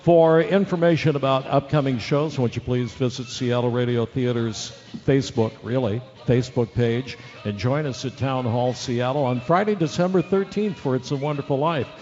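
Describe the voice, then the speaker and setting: A man working as a radio announcer, reading show credits live in a playful, drawn-out style. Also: medium pitch, darkish tone. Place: live theater hall. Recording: dull hall recording with faint music.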